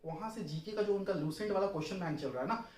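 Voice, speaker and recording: A man's voice: high-pitched, quick pace, darkish tone. A young man giving an informal exam strategy lesson, instructive and colloquial, repeating points for emphasis. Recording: roomy, distant mic, faint noise.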